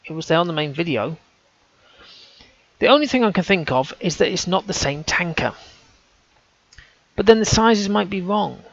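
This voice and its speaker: Gravelly man musing aloud, lo-fi sound. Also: high voice, lively intonation, normal speed, pauses often, fairly bright tone, dry recording. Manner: hesitant, self-correcting, casual. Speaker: amateur researcher. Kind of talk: thinking-aloud commentary over on-screen images.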